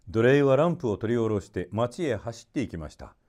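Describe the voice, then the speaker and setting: A man narrating a children's story expressively, in a polite and measured storytelling manner. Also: mid-range voice, sing-song, a little mellow.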